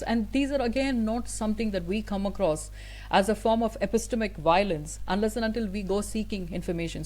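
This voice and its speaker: A woman's voice: mid-range voice, darkish tone, low-quality recording. Earnest woman with a Tamil first language. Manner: earnest, thoughtful, many fillers and restarts. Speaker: guest speaker. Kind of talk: conversational interview answer.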